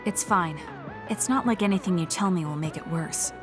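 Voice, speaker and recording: A cool young female soldier performed by an actress for a video game. She has a slightly low voice and talks with blunt, reserved directness. Music is clearly heard behind her lines. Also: moderate pace, lightly acted. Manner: matter-of-fact, reserved, blunt. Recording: studio voice under loud game music.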